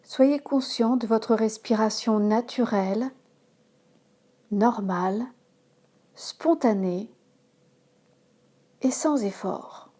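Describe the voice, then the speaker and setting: A woman yoga instructor leading a guided relaxation in a calm, measured manner, giving instructions in short phrases. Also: a little high. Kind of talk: slow guided relaxation meditation.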